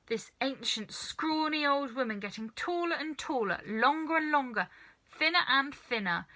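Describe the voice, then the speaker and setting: Animated, bright-voiced woman reading a story. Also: high voice. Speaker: storybook reader. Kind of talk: animated children's story narration.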